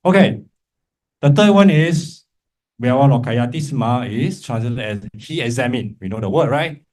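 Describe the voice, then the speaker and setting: A male Buddhist teacher leads an interactive class in an informal, encouraging way. He explains step by step and keeps checking in with little tag questions, at an average pitch for a man. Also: animated, unhurried, darkish tone. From Malaysia. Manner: encouraging, informal, frequent check-in tags like "right?" and "okay?". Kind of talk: interactive lecture to a class with remote listeners.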